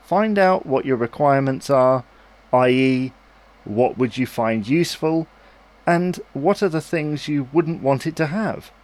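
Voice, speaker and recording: An actor playing a patient, reasonable husband in a domestic audio drama, with a gentle, reassuring, persuasive manner. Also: male voice, medium pitch, expressive, measured pace, lightly acted. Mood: encouraging. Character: Harry, patient, reasonable husband and new father, coaxing.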